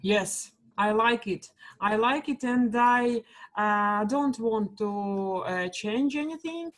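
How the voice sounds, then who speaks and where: A woman's voice: a little high, slow. Hesitant Russian-accented woman learner. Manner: hesitant, many fillers. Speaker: student, primary school teacher. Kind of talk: halting learner English. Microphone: video call.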